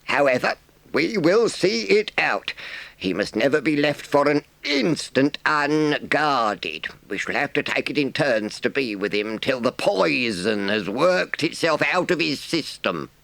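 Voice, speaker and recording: Storybook badger: grave old speechmaker, pompous and firm, raised pitch, very deliberate pacing. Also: male voice, sing-song, vocal fry, fairly bright tone, dry recording, exaggerated voice. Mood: resigned. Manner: pompous, slow, emphatic speechifying. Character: solemn, kindly but stern elderly male badger, oratorical.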